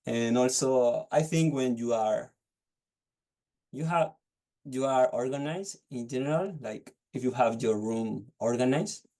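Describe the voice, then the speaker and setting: Slow gravelly young man with a Chilean accent. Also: medium pitch, mellow. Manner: hesitant, restarts phrases while searching for words. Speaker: test candidate. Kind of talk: unscripted speaking-test answers. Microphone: video call.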